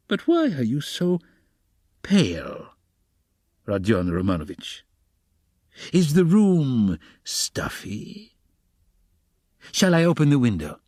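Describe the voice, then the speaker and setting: A man's voice: fairly high pitch, a little mellow, dry recording, clean studio sound, voice pushed up, full character voice. A man voicing a wily middle-aged investigator in an audiobook, fussily solicitous and slyly mocking, with theatrical, coaxing exclamations. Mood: mock concern.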